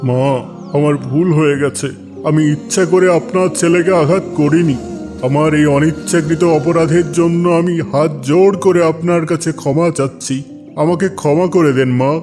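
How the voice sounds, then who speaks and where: A man playing a dignified, humble, righteous caliph in an animated moral drama, speaking formally and earnestly. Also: on the high side, moderate pace, close to an everyday voice. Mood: contrite, earnest. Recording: faint music behind the voice.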